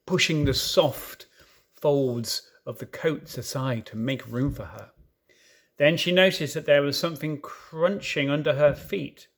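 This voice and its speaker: A man reading a children's story aloud in an expressive, dramatic way, with playful asides. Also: a little high. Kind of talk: animated storybook narration.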